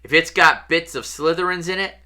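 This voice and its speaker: A reader voicing a cheeky, excitable schoolboy best friend, teasing and blunt. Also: medium pitch, normal speed, voice pushed up, natural voice. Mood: gleeful, joking.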